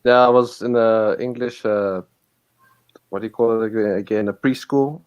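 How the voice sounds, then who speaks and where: A man from South Africa whose first language is Afrikaans, chatting casually in halting English while searching for words, over a computer microphone on a video call. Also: fairly low pitch, steady tone, a little mellow, clean tone. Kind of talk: casual chat.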